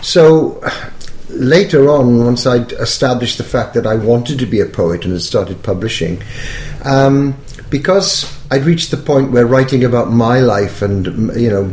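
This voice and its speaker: A middle-aged or older man with an educated Welsh accent, a poet reflecting in an interview in a thoughtful, halting, self-correcting way. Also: mid-range voice, darkish tone, a little hiss or hum. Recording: echoey room.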